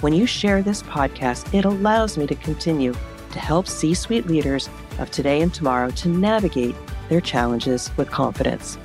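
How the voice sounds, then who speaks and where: A woman's voice: sits low, lively intonation, normal speed, darkish tone, faint music behind. A woman hosting a solo business podcast who is losing her voice. She coaches leaders in a direct way and asks many rhetorical questions. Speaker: podcast host and leadership consultant.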